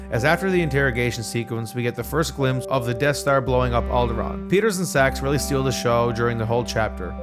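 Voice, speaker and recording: A man reading scripted narration as a video essayist. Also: medium pitch. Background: background music.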